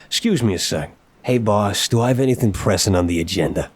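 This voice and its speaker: A man playing a suave, playful young mafia boss in an intimate audio roleplay, teasing and smooth-talking, leaning on words for emphasis. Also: on the low side, lively intonation, brisk.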